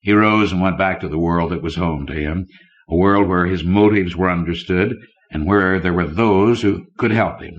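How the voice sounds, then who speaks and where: Very deep-voiced male audiobook narrator. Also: normal speed. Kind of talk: scripted fiction narration. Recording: dull lo-fi old cassette recording.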